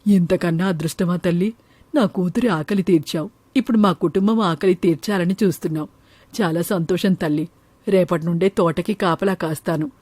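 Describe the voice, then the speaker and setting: Woman as a mellow, humble farmer father. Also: on the low side, moderate pace, dry recording, natural voice. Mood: grateful. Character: poor, gentle, humble farmer father, adult man. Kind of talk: animated moral story acting.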